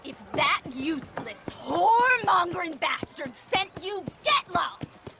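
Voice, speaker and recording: An actress playing a furious, sharp-tongued frontier wife with an American Western accent in a video game. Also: female voice, very high-pitched, animated, slow pace, full character voice. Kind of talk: game character acting. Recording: very noisy outdoor scene.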